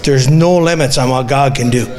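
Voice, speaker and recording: A man's voice: mid-range voice, moderate pace, in a large hall, a crowd in the background. A middle-aged man from Newfoundland with a Newfoundland accent, earnestly reading his personal testimony aloud to a church congregation with small restarts. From Newfoundland, Canada. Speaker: guest giving a church testimony. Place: church hall.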